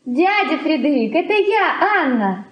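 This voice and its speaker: An actress playing an independent young fiancée in a Soviet radio play, exclaiming and coaxing. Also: female voice, sits high, big pitch swings, unhurried, dull audio. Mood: tentative.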